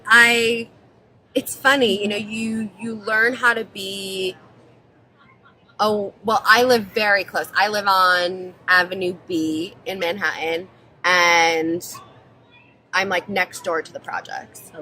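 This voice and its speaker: A woman's voice: mid-range voice, steady tone, slow, pushed and bright, slight background noise, low-quality recording. An American woman speaking as a guest in a casual interview, explaining things in an informal, chatty way. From born in Israel, grew up mostly in New Jersey, US.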